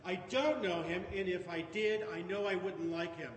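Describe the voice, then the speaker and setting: A woman's voice: on the low side, moderate pace, slight background noise, close to an everyday voice. A woman voicing a frightened grown man who keeps denying irritably that he knows his friend. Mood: defensive. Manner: defensive, irritated denials. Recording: roomy, long reverb.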